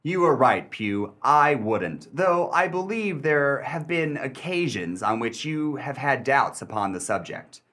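An actor playing a sly, smug gentleman collector in a radio play, suave, wordy and mock-polite. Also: medium pitch, very animated, a little slow, few pauses. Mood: coolly teasing.

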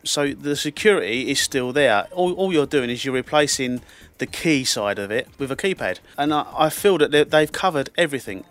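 A man's voice: medium pitch, lively intonation, moderate pace, fairly bright tone. Man enthusing about a door lock. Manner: enthusiastic, a few hesitant restarts. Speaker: product reviewer. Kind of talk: product opinion.

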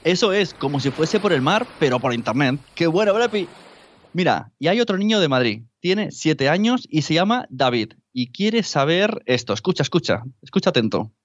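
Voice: mid-range voice, very animated, normal speed, no room sound. A performer playing a friendly flying superhero who presents listeners' questions casually and enthusiastically on a children's podcast. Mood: friendly, upbeat. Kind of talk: scripted children's podcast chat.

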